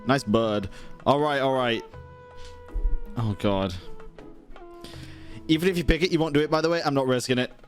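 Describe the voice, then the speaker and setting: A man's voice: medium pitch, normal speed, pauses often, faint music behind. A man doing casual, slangy gameplay commentary as a streamer, reacting and joking. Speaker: game streamer.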